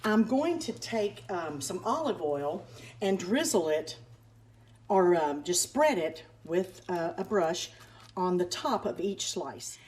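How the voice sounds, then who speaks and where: A woman's voice: on the low side, animated, slow, a little mellow. An older woman, hosting a casual cooking demonstration in a large home kitchen and chatting homily while she works. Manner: chatty, homey, talks while working. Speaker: cooking segment host. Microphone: fixed camera across the kitchen counter. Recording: roomy kitchen with faint hum.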